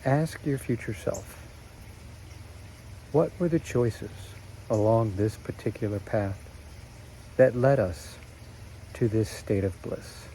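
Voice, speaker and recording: A man narrating a guided meditation in a slow, soothing way with long pauses. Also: lowish voice, warm tone, slight background noise, rough recording.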